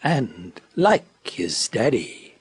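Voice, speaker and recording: A man voicing a sly, sinister female snake in a storybook, insinuating and slithery, in a husky, breathy near-whisper. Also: medium pitch, huge pitch swings, very slow, no echo, pitched below the performer's own voice, full character voice.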